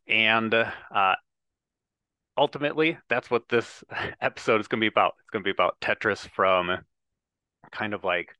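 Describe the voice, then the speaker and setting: A man hosts a casual podcast interview. His voice sits on the low side but has a bright edge, and it sounds dry and close to the mic. His questions ramble, full of "kind of" and "uh". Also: smooth, no room sound. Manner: rambling, hedging, many fillers. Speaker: podcast host.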